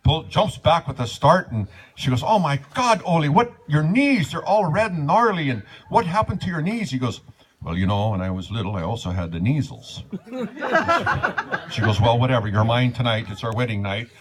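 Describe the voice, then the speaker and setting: A man's voice: medium pitch, very animated, moderate pace, lightly acted, poor audio. A man playing a folksy Norwegian-American joker, Sven, telling wedding jokes with an Upper Midwest accent in a comic duo. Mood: jovial. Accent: Scandinavian-American Upper Midwest. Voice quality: folksy, chuckling, says "by golly". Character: folksy Scandinavian-American man Sven, jovial joke-teller. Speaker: wedding entertainer. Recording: live room with crowd behind. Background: crowd noise.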